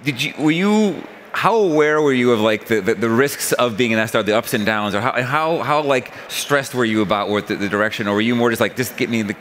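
A man's voice: mid-range voice, a little fast, hardly any pauses, fairly bright tone, a little hiss or hum. A middle-aged man interviewing a guest on a large conference stage through a headset mic, asking rambling questions with many restarts. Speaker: interviewer, investor. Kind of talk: on-stage conversation.

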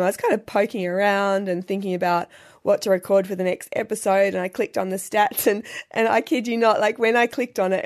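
A middle-aged Australian woman hosting a solo podcast, her voice husky, croaky and slightly nasal from a recent illness, chatty and self-deprecating. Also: mid-range voice, darkish tone. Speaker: podcast host.

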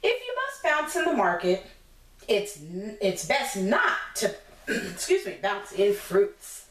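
A woman's voice: medium pitch, wildly swooping pitch, slow, fairly bright tone. An American woman in her thirties reading a picture book aloud to young children as a teacher, encouraging them and asking simple questions. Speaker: preschool teacher. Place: small indoor room against a plain decorated wall. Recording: roomy echo, distant mic, clear background noise.

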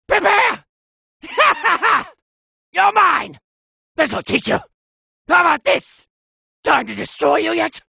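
A man voicing a pompous, petulant, gluttonous fat cat god of destruction in an anime video game, with a gravelly, strained, blustering delivery. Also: very high voice, bright, forceful tone, no room sound, studio quality, heavily acted. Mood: furious, blustering. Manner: bratty, blustering, imperious.

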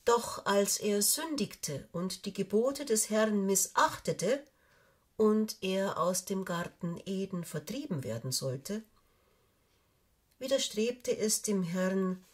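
An older woman reading a fairy tale aloud for children, with measured, expressive storytelling and deliberate pauses. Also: medium pitch, husky. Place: small indoor room, seated on a sofa.

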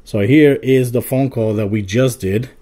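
Casual man with a slightly mellow voice. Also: medium pitch, normal speed. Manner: informal, step-by-step explaining. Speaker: tech video host. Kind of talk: casual phone app tutorial.

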